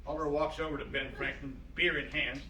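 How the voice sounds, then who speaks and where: Very bright-voiced man narrating stage directions. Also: fairly high pitch, steady tone, quick pace. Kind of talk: scripted narration. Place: room at a live staged reading. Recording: distant, roomy, noisy live stage recording.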